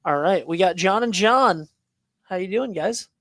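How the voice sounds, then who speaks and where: Higher-pitched man hosting a show. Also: measured pace, a bit bright, no room sound, clean studio sound. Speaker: show host.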